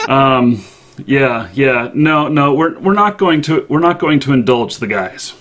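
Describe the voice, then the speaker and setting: A man hosting a casual live-stream interview, good-humored and halting as he puts questions to a guest. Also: mid-range voice, animated, fairly bright tone, a little hiss or hum.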